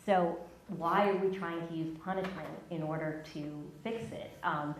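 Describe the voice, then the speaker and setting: A woman panelist arguing her point forcefully about how addiction is treated. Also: on the low side, fairly even intonation, normal speed, slight background noise. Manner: forceful, argumentative. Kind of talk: panel discussion. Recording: echoey, distant from the mic.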